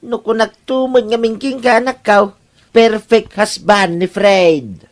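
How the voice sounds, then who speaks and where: A man's voice: high voice, slow. A man playing a chatty, teasing older father-in-law in an Ilocano audio drama, with a Filipino Ilocano accent. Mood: teasing, approving.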